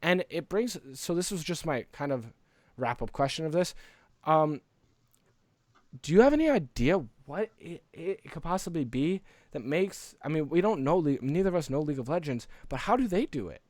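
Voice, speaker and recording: A man's voice: fairly high pitch, sing-song, normal speed, darkish tone, dry recording. Earnest man chatting, dry close sound. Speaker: podcast co-host. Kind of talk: casual gaming podcast chat.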